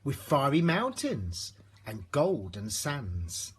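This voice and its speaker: A man reading a rhyming children's storybook aloud in an expressive narrating style. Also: medium pitch, slow, slightly rough. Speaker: storybook reader.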